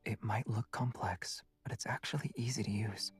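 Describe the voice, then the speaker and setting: An actor playing a calm, confident young male hunter in a video game, composed and understated. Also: lowish voice, normal speed, slightly rough, soft background music. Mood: soft, teasing. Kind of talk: scripted game voice acting.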